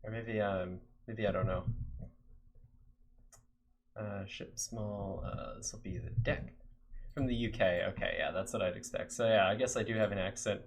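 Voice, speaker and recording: A man's voice: fairly low pitch, steady tone, normal speed, long pauses. A young American man from Texas chatting casually to his viewers while coding on a live stream, rambling with lots of fillers. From Texas, USA. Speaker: game developer streamer.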